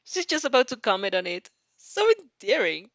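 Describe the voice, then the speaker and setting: A woman's voice: fairly high pitch, big pitch swings, moderate pace, a bit bright, dry recording, lo-fi sound. A woman reading fan fiction aloud expressively, giggly and reacting as she goes, sometimes stumbling over words.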